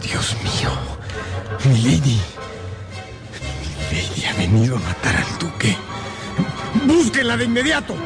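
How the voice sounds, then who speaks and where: An actor playing a grave, commanding gentleman in a swashbuckling radio drama. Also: medium pitch, huge pitch swings, measured pace, pauses often, music in the background. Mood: alarmed.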